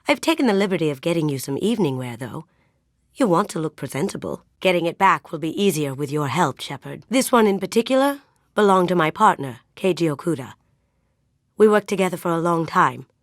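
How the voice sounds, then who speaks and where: A woman's voice: sits low, no room sound, clean studio sound. An actress playing a sly, playful young woman master thief in a sci-fi video game, with a teasing, lightly wry manner. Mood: sly, friendly.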